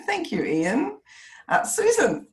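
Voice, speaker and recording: A woman's voice: high-pitched, wildly swooping pitch, takes it slowly, roomy sound. A woman informally hosting an online meeting, encouraging, chatty and polite as she guides the participants. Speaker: meeting host and chair. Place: online video call. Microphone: video call.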